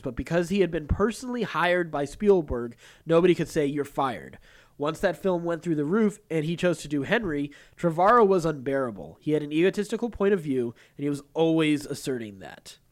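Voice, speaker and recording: A man co-hosting a podcast, talking through entertainment news in a casual way and reading stories aloud. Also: on the high side. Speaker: podcast co-host.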